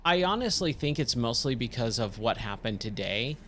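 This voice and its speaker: A man with a slightly hoarse, scratchy voice giving casual, rambling game commentary and thinking aloud as he plays. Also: medium pitch, animated, normal speed, a bit bright. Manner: rambling, self-questioning. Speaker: game streamer, host of a let's play.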